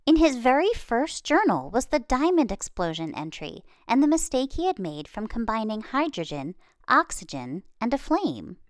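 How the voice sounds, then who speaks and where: A woman reading a children's story aloud expressively, with dramatic pauses and emphasis. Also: on the high side, very animated, no room sound, studio quality. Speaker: children's book reader.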